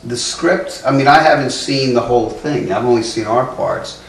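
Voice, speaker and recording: A man's voice: medium pitch, normal speed. Man, casual choppy interview, echoey room, distant mic, faint hum. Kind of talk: informal documentary interview.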